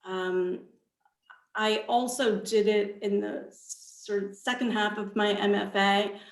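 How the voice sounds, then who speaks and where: An echoey, roomy recording of a woman with a mid-pitched voice. She is a guest author giving a casual account at a slow, unhurried pace. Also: flat delivery.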